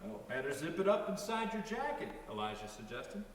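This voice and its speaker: A man's voice: on the high side, very animated, brisk. A man giving a dramatic reading of a story, moving between narration and dialogue. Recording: very echoey hall, distant mic, room noise.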